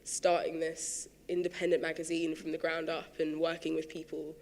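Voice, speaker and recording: A man moderating a panel talk, hedging a little as he sets up his next question. His voice sits fairly high and stays flat, with the long reverb of a big theatre behind it. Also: moderate pace, gravelly, slight background noise. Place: large theatre stage.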